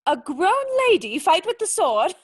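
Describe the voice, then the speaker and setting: A woman's voice: high-pitched, very animated, normal speed. A woman playing a proud, defensive young noblewoman with formal, earnest speech in a radio play. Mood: prim.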